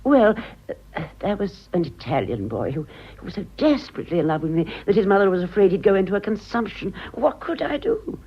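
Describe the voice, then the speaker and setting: An actress playing a vain, self-pitying ageing romantic in a British radio drama, confiding dramatically and halting for effect. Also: female voice, mid-range voice, sing-song, breathy edge, highs cut off. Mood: theatrical, romantic. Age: older.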